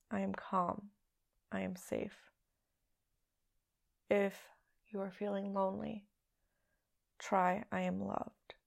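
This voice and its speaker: A woman leading a guided meditation as a podcast host, speaking in a hushed, soothing, unhurried way with long pauses. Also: mid-range voice, creaky.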